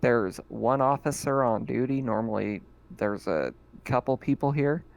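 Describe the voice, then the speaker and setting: A man acting as game master, narrating in a relaxed, chatty way and often laughing. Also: medium pitch, measured pace, gravelly. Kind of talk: casual tabletop game narration.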